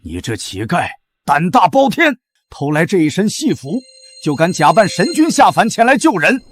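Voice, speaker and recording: A man's voice: sits high, huge pitch swings, normal speed, slightly rough, soft background music, full character voice. An actor playing a contemptuous, jeering nobleman who mocks a beggar. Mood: mocking.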